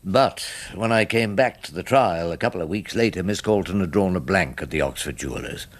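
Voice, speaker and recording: An actor playing a wry, shrewd older English defence barrister, husky and dry, narrating his case in a radio drama. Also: sits low. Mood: gloomy, reflective. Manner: dry, sardonic, measured.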